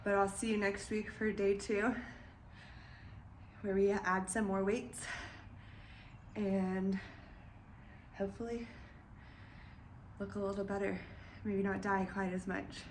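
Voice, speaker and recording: A woman's voice: mid-range voice, flat delivery, slow pace, long pauses. A young woman with a slightly nasal, congested voice, giving casual lifting tips in a home garage between sets and a bit winded. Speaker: vlogger and former weightlifter. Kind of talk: casual training vlog with coaching tips. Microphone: camera set up away from her. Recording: echoey garage from a distance with noise.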